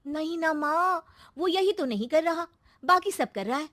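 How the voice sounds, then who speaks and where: A woman's voice: extremely high pitch, animated, normal speed, fairly bright tone, dry recording, clean studio sound, pitched above the performer's own voice. A woman voicing a greedy, scheming young daughter in a moral story, with an insistent, coaxing manner. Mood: insinuating. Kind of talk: acted moral-story dialogue.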